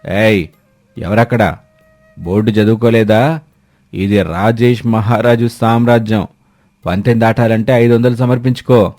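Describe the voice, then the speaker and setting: A man's voice: on the low side, steady tone, unhurried, pauses often, a little mellow, faint music behind. A man voicing a lazy, arrogant, newly rich man, pompous and demanding, in a story.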